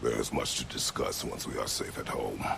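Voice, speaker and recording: A man playing a stern, battle-hardened warrior father in a video game, gruff and gravelly, speaking in terse, commanding, formal phrases. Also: normal speed, background noise, heavily acted. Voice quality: very deep, gravelly. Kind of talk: dramatic video game acting.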